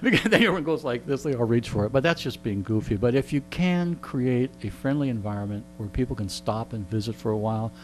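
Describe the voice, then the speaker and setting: A man's voice: mid-range voice, fast talker, few pauses, low-quality recording. An older man in his sixties chatting casually as a guest, rambling along with dry, joking asides. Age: older, 60s. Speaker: interview guest, local radio host. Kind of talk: casual chat. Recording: steady electrical mains hum.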